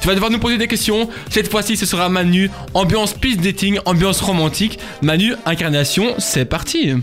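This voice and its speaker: A male radio host with a brisk, upbeat announcer delivery, hosting a lively show with music playing underneath. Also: high voice.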